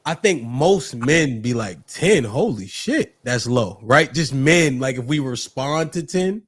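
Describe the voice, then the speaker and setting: A man's voice: medium pitch, expressive, a little slow, breathy edge, dry recording. A man hosting a casual debate podcast, talking in slang and often checking his points with short tag questions. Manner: slangy, punctuates points with "right?". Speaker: podcast host.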